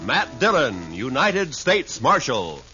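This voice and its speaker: A male vintage radio announcer with a dramatic, animated delivery. He declaims over music. The recording is dull and old. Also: mid-range voice, takes it slowly.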